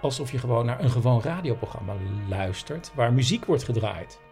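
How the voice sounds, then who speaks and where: Husky man telling a story casually. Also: mid-range voice, expressive, brisk, a little mellow, faint music behind. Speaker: podcast host.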